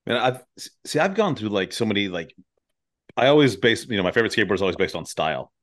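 Middle-aged American man, lowish register, blunt, profane, slang-heavy conversational style. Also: very animated, quick pace. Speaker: podcast conversationalist. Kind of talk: casual chat.

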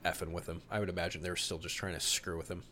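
Male podcast host, lower register, emphatic and opinionated, switching between narration and casual commentary. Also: little pitch movement, rapid-fire, a crowd in the background. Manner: opinionated, emphatic, mildly profane. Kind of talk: podcast talk mixing read-out storyboard summary and casual commentary.

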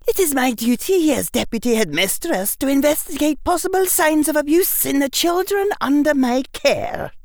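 A performer voicing a grave older witch professor, soft-spoken, measured and formal. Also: expressive, vocal fry, no echo, clean studio sound. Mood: stern, formal. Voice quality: soft, high voice.

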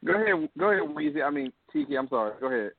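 A man's voice: fairly high pitch, sing-song, very fast, slight background noise. A man with a slightly raised voice jokes with his co-hosts and plays at being offended. His voice sounds tinny, like a phone call. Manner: joking mock-indignant banter. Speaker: co-host. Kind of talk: casual podcast banter.

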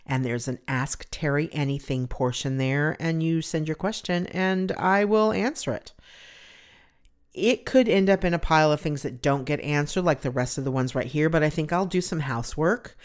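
Deep-voiced woman chatting on her podcast. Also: normal speed. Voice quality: deep resonant voice. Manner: rambling, chatty, self-deprecating. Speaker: podcast host. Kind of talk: casual solo podcast talk.

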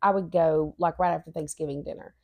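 Brief: woman in her 40s, husky lowish voice, rambling co-host. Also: fairly even intonation, a little fast, warm tone. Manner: earnest, rambling, stops and restarts. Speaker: podcast co-host. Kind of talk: casual chat.

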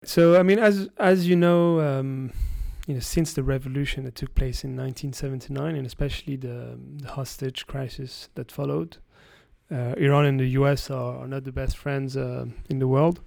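A man from France, a guest analyst giving explanatory interview answers, halting with frequent restarts. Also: medium pitch, fairly even intonation, gravelly, darkish tone, dry recording.

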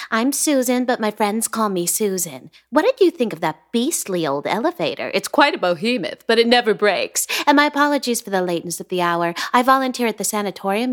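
A woman's voice: medium pitch, close to an everyday voice. A woman playing an eerie, theatrical young landlady. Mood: sweetly eerie. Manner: lilting, grandly affected, drawn-out words. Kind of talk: radio drama acting.

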